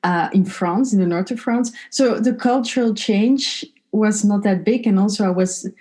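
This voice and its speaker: A woman from Belgium, a PhD graduate, reflecting in a panel talk with thoughtful pauses and fillers. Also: mid-range voice, a little mellow.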